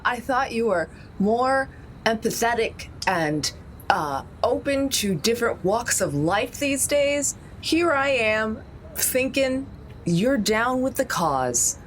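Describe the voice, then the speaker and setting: A woman playing a glamorous, smooth-talking celebrity artist in a tabletop roleplay, charming, theatrical and a little condescending. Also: on the high side, slight background noise, pitched above the performer's own voice. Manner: gushing, theatrical.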